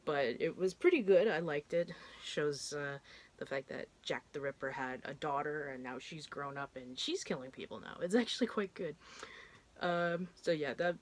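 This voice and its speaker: A woman in her thirties, stuffed up and congested, chatting casually and rambling a bit as she talks through what she has watched, with the odd casual swear. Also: deep voice. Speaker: horror film vlogger. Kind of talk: casual chat.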